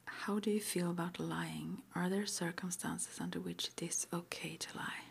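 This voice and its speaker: A woman whispering breathily in an ASMR roleplay, gently and hesitantly asking questions like an interviewer. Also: lowish voice, steady tone, normal speed, slight background noise. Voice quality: breathy whisper, mostly unvoiced.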